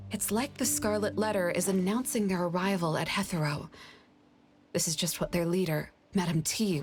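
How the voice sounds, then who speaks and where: A woman voicing a composed, guarded young woman in an anime-style game, serious and measured. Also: on the low side, lightly acted. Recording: faint music behind the voice.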